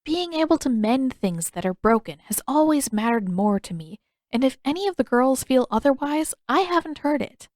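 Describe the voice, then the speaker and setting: A woman voicing a gentle, wistful elderly female healer in an audiobook, with measured, formal, reflective phrasing. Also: sits high, expressive, dry recording, clean studio sound, voice pushed up. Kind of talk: audiobook character dialogue.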